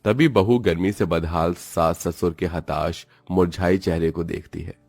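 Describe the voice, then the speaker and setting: A man's voice: low voice, fairly even intonation, unhurried, gravelly, darkish tone, no room sound. A man giving scripted, dramatic narration of a cartoon moral story.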